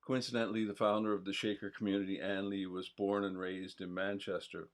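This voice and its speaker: A man's voice: deep voice, flat delivery, brisk. A man chatting casually as co-host of a live stream, rambling with many fillers and restarts. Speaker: co-host of a local history stream. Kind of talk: casual chat.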